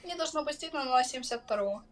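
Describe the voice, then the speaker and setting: A young woman in her twenties with a high voice answers questions in a defensive, flustered way. She giggles often and talks back casually while being filmed. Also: rapid-fire. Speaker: interviewee. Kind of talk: on-camera street conversation.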